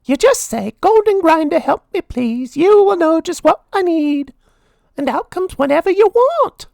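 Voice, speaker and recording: Squeaky, excitable little boy cartoon mouse. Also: unhurried, vocal fry, no room sound, studio quality, exaggerated voice. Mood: eager, helpful. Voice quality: squeaky, high falsetto.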